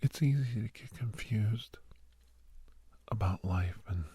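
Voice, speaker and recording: A gentle doctor, played by a man, speaks in a low, very husky voice. He takes his time, pausing hesitantly as he reassures a nervous young listener. The delivery is soft, breathy ASMR roleplay that often slips toward a whisper. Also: full character voice. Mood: reassuring. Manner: soothing, hesitant, halting pauses.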